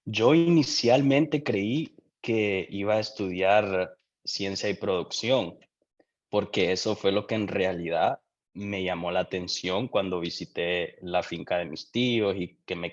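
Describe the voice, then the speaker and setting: Young Honduran man, very low voice, lo-fi muffled sound, thoughtful interview guest. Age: young adult. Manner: reflective, unhurried, with frequent restarts. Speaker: guest, doctoral student. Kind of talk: casual interview answers.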